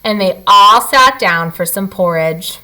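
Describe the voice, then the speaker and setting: A woman teacher reading to children and guiding them through a lesson, expressive and speaking to them directly. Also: medium pitch, unhurried, poor audio. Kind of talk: children's read-aloud and lesson.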